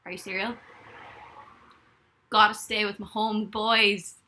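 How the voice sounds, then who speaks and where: Young woman, bright voice, rambling giggly live-stream chat from her bed. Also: mid-range voice, little pitch movement, slow. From Ireland. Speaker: livestreamer. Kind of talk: casual live-stream chat, reading comments. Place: bedroom, in bed.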